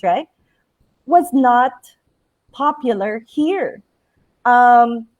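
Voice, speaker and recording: A Filipino woman in her thirties chats easily about her work. Her voice sits a little high, and she talks in loose runs broken by fillers and restarts. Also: darkish tone. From Philippines. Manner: chatty, many fillers and restarts. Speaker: children's book author. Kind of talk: casual interview chat.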